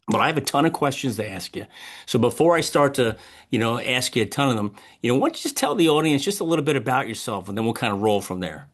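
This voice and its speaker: A man's voice: mid-range voice, big pitch swings, quick pace. Man hosting an informal interview podcast. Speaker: podcast host. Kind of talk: conversational interview introduction.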